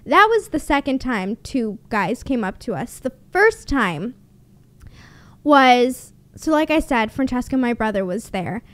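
A woman's voice: fairly high pitch, very animated, unhurried. A young woman hosting a podcast from a small home room, telling a story in a casual, blunt and sarcastic way and swearing freely, close on a studio microphone. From Canada. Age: young adult. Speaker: podcast host. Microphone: studio mic on boom arm, close.

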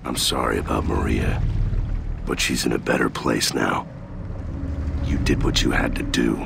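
An actor playing a stoic, gruff veteran soldier in a video game, with a gravelly, terse delivery. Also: male voice, extremely low pitch, normal speed, noisy background, full character voice. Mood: solemn. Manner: terse, stolid. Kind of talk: dramatic video game acting. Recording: music behind the voice.

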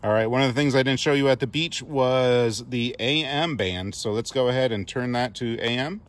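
A man's voice: medium pitch, normal speed. Chatty man showing off a gadget. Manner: chatty, informal, playful. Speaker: product reviewer. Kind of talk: casual hands-on product demo.

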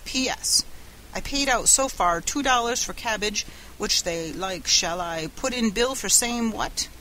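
A woman's voice: mid-range voice, expressive, unhurried, bright, forceful tone, a little hiss or hum, poor audio. A woman voicing a stubborn, sardonic Irishman working as an express agent, with a folksy, dogged way of talking. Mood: indignant, dogged.

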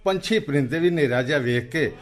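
A man's voice: fairly high pitch, lively intonation, fairly quick, natural voice. An actor playing an earnest, determined village man in a radio play, speaking rustic colloquial Punjabi-accented speech. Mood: somber, resigned. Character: grieving, determined village man urging action against smoke.

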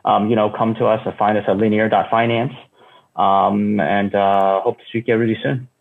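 A man in his thirties giving an unscripted keynote from home over a video call, speaking haltingly with many fillers and restarts. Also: fairly low pitch, flat delivery, gravelly. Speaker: company founder giving a keynote. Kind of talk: casual unscripted talk. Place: room at home. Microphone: earbuds on a video call. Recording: tinny phone-like call audio.

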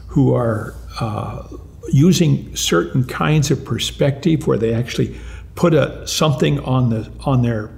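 An older man, a retired mathematics professor, answering interview questions in an animated way, often restarting and repeating words. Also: medium pitch, a little slow, creaky. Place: lounge-like room with armchairs and lamps, indoors.

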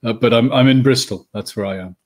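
A middle-aged man with a Northern Irish accent, chatting as a guest on a video call from home, often pausing with ums and restarting his sentences. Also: mid-range voice. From Northern Ireland. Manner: hesitant, many ums and restarts. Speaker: guest, children's book author. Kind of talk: casual interview chat. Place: home room.

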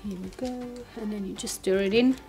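A woman's voice: medium pitch, brisk, gravelly, darkish tone. A woman, a native German speaker, chatting casually through a home cooking demo while sniffly with a cold. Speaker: home cook vlogger. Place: home kitchen, indoors. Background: background music.

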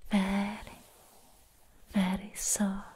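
A woman voicing a sweet, doting vampire girl in an ASMR roleplay, soothing the listener toward sleep in soft, breathy whispers. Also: mid-range voice, completely flat delivery, slight background noise. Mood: playful, caring. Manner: slow, tender, soothing, repeating words.

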